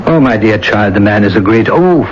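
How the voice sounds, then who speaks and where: Man as a suave, condescending lover, low-voiced. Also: lively intonation, moderate pace, a little hiss or hum, close to an everyday voice. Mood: smooth, condescending. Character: suave, evasive French middle-aged lover, condescendingly affectionate. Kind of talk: radio drama acting. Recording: muffled radio recording with music.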